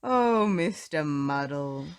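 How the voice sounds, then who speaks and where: A friendly old storybook fisherman, acted by a woman, speaking slowly and patiently. The words are drawn out with a lively rise and fall. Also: low-pitched, clear, resonant tone.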